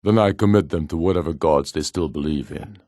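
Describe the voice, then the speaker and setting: An actor playing a proud, commanding middle-aged rebel jarl in a fantasy video game, speaking in solemn, imperious, measured oratory. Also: male voice, rumbling low, warm tone, dry recording, clean studio sound. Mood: grave, resolute.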